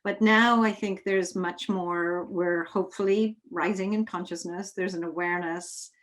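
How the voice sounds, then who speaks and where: A woman with a slightly low voice talks in a conversational interview setting. She is thoughtful and tends to restart and rephrase her sentences as she explains. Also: fairly even intonation, normal speed. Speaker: interviewer, theatre artistic director.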